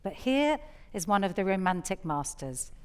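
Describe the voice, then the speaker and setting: A woman's voice: mid-range voice, big pitch swings, moderate pace, a little mellow, clear, resonant tone. A woman hosting a poetry evening, giving scripted introductions from a theatre stage.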